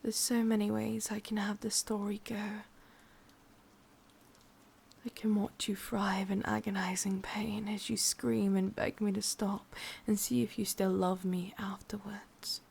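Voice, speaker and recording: A woman playing a sadistic, possessive witch with an English accent in a whispered ASMR roleplay, breathy, teasing and menacingly sweet. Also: medium pitch, flat delivery, moderate pace, few pauses, a little mellow. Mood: teasing, cruel.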